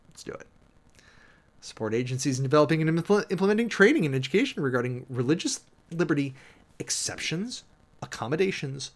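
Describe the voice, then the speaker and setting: Informal man reading aloud with dramatic asides. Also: mid-range voice. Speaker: livestream host. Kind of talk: reading a document aloud with off-the-cuff commentary.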